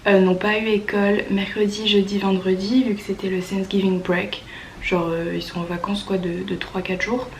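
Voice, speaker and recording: Casting: French woman, early 20s, chatty informal vlogger, mid-pitched, congested with a cold, noisy ambient recording. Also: darkish tone. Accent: French from France. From France. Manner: informal, youthful slang, enthusiastic. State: stuffy voice from a cold. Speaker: au pair vlogger. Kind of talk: casual chatty vlog storytelling.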